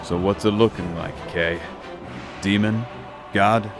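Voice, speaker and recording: Man acting a slow-talking, low, brash demon hunter. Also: fairly even intonation, music in the background. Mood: cocky, taunting. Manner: folksy, taunting. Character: big brash cocky male demon hunter. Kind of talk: audio drama acting.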